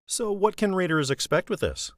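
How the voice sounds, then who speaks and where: A man's voice: high voice, expressive, normal speed, no room sound. Male host, polished promo read, dry close sound. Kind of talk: interview hosting.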